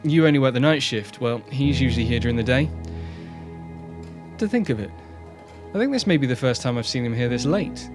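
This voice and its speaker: A man playing a calm, kindly homeless shelter manager in easygoing radio drama dialogue. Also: medium pitch, brisk, close to an everyday voice. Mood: thoughtful, sympathetic. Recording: music behind the voice.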